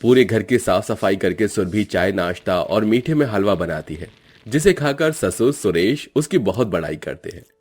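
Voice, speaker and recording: A man's voice: lowish voice, expressive, normal speed, a little mellow, faint music behind. A man narrating a cartoon family story in a dramatic, scripted storytelling style.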